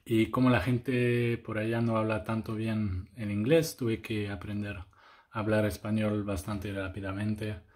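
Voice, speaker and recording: Slow-speaking man. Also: lowish voice, flat delivery, a little mellow. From Switzerland. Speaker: interviewee.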